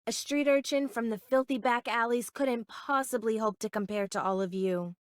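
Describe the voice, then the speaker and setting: An actress playing an easygoing, self-deprecating young street urchin turned soldier in a video game, with casual, flippant bravado. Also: female voice, fairly high pitch, normal speed, no room sound, clean studio sound, close to an everyday voice. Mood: resigned, reflective.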